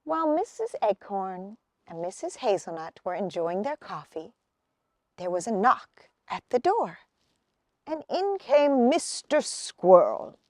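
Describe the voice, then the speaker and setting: A woman reading a children's picture book aloud as an expressive storyteller. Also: mid-range voice, a little slow, no echo, studio quality.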